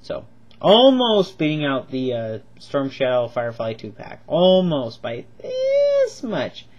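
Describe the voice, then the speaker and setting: A man's voice: fairly high pitch, huge pitch swings, slow, dull audio. A man with a cold reviewing toy figures in a casual home video, rambling and enthusiastic with lots of ums and repeats. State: stuffed up with a cold, coughing.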